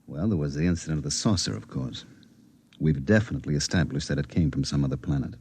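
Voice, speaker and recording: An actor playing a formal, persuasive government security chief in a 1950s radio drama, speaking deliberately and pressing his case. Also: rumbling low, darkish tone, husky, exaggerated voice. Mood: official, persuasive.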